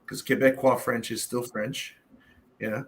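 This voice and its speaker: A man's voice: medium pitch, flat delivery, unhurried, vocal fry. A middle-aged man from Canada talks in a loose, profane, conversational way. He is blunt and fills his sentences with "you know" and "right?" as he tells his stories. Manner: blunt, profane, storytelling with many fillers. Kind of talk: casual roundtable discussion.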